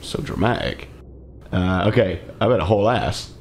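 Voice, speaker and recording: A man's voice: low voice, lively intonation, normal speed, vocal fry, slight background noise. A man playing a tabletop roleplaying game in a casual, laid-back, slangy manner.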